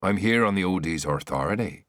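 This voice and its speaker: A man's voice: low-pitched, lively intonation, brisk, fairly bright tone, no room sound. A man voicing a cruel, confident gunman enforcer in an audiobook thriller, taunting and commanding without hurry. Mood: cold command. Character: menacing, gloating gunman enforcer, cruel and sure.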